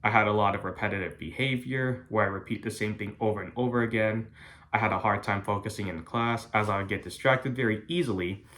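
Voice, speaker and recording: A young man from the United States giving a prepared class speech in an earnest, careful, halting way. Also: fairly low pitch, fairly bright tone. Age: young adult. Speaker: college student.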